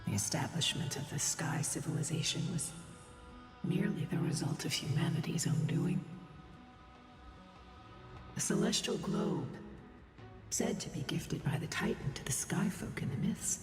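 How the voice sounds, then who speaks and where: A performer voicing a solemn otherworldly divine being in a game cutscene, with breathy, portentous recitation. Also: medium pitch, unhurried, long pauses, lightly acted. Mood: solemn, grave. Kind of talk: scripted game cutscene narration. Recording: cavernous distant echo, music behind.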